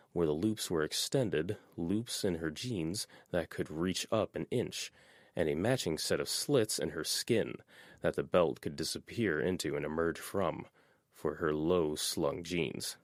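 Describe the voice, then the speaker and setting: Male audiobook narrator, very deep voice, lively expressive reading, dry close recording. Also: measured pace, dry recording. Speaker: audiobook reader.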